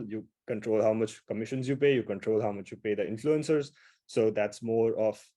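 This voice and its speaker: A man's voice: on the low side, darkish tone. A man with an Indian accent from India, giving an upbeat, casual webinar presentation over a video call. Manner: upbeat, quick and casual, with frequent fillers.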